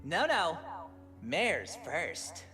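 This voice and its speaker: A performer playing a manic, menacing yet devoted male cartoon pony, theatrical and forceful, in a fan audio drama. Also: mid-range voice, big pitch swings, very slow. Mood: gallant. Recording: long reverb, faint music.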